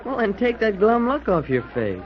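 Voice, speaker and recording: A prodding schoolboy chum, dull old recording. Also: male voice, high voice, big pitch swings, normal speed. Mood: concerned, prodding. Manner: boyish, prodding, slangy. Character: loyal, talkative schoolboy chum, frank and concerned. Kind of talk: 1940s radio acting.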